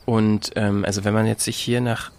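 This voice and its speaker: A man's voice: on the low side, flat delivery, moderate pace, darkish tone. A man explaining things in a casual discussion, feeling his way with self-corrections.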